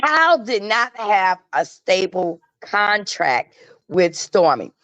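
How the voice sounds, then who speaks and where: A woman's voice: mid-range voice, sing-song, takes it slowly, fairly bright tone, dry recording. A woman giving casual gossip commentary as a guest, emphatic and matter-of-fact, with colloquial phrasing. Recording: dull, lo-fi call audio.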